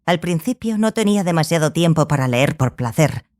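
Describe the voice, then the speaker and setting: A woman playing a stern, obsessive scholarly sorceress in a dramatized audiobook, speaking gravely and deliberately, with pauses. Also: low voice, lively intonation, darkish tone. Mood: reflective.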